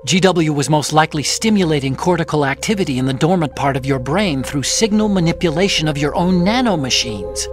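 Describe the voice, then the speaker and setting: An actor playing a gentle, brainy support ally in a video game, explaining things in a careful, analytical way. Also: male voice, on the high side, lively intonation, a little fast, hardly any pauses, lightly acted. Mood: grave, analytical. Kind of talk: dramatic video game voice acting. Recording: loud music behind the voice.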